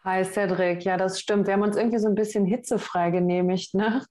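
A woman's voice: mid-range voice, steady tone, fairly quick, darkish tone. Chatty young woman podcast host. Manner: chatty, enthusiastic, many fillers. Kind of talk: casual podcast chat.

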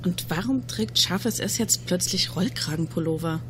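An actress playing a weary, skeptical female agent who complains in a drawn-out way in a comic audio drama. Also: fairly low pitch, fairly bright tone, a little hiss or hum, lightly acted.

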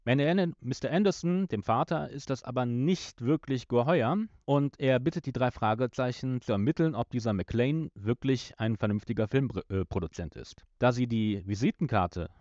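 A male podcast host retells a plot in a casual, partly scripted manner. His voice sits at an average pitch, and he often stumbles and corrects himself. The recording is very dry and a little dull, as if on a modest close microphone. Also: normal speed, few pauses, darkish tone, no room sound.

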